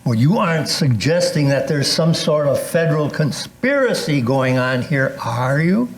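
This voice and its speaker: A man's voice: a little high, lively intonation, normal speed. An older man playing a skeptical, wisecracking older friend with a folksy manner in an amateur readers theatre piece. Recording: roomy echo with faint noise.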